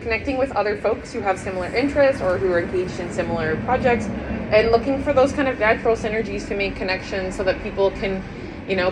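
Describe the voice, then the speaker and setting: A woman at a conference sharing reflective thoughts in a casual, thoughtful way. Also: mid-range voice. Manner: thoughtful, with fillers like "kind of". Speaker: conference attendee. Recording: clear background noise.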